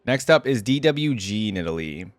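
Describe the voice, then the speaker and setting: A man's voice: fairly low pitch, expressive, normal speed, noisy background. A man giving a casual, informal live reaction commentary, thinking aloud with frequent exclamations. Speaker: reaction video host. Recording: music playing behind the voice. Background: game music and sound effects.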